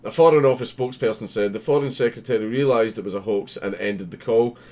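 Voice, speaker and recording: A man's voice: fairly low pitch, fast talker, mellow. Sweary, amused man commenting on news. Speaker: host. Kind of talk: casual commentary reading a news article aloud.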